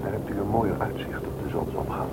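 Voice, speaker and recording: A man's voice: low-pitched, fast talker, natural voice. A man acting a polite, attentive flight crewman in a radio drama, courteous and deferential. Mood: gentle, courteous. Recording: very loud aircraft engine noise.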